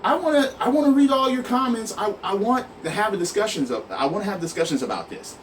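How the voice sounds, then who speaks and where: A man's voice: sits high, expressive, fast. A man giving an exasperated, emphatic, profanity-laced opinion rant to his online viewers. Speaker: online video host. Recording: noisy, distant mic, room sound.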